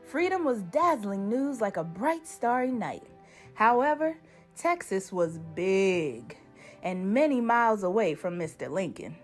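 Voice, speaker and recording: A woman narrates a children's picture book with expressive phrasing. She takes her time, and her fairly high voice rises and falls with lively variety. Faint music plays behind her. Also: a little slow. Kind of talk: scripted storybook narration.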